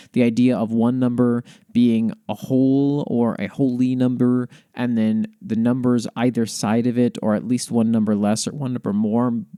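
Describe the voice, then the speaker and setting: Male host, mellow relaxed tone, mid pitch, measured lore reader with thoughtful commentary. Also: dry recording, clean studio sound. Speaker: podcast host. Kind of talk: reading lore aloud with commentary.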